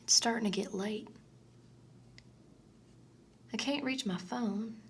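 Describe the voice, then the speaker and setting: A woman performing an intimate radio play monologue as a dreamy, obsessively lovestruck woman, breathy and drifting into whispers. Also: medium pitch. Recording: music under the voice. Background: music.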